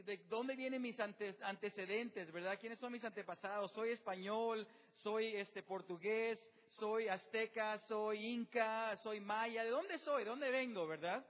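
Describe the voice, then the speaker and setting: A man's voice: sits high, long reverb tail, lo-fi sound. A man preaching a sermon as pastor, asking the congregation rhetorical questions and often using fillers.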